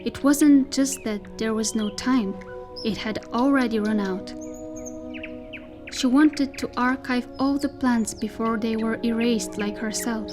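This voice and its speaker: A woman narrating a dystopian tale as a solemn storyteller, in measured, scripted literary style. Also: fairly high pitch, close to an everyday voice. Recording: music behind the voice. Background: music.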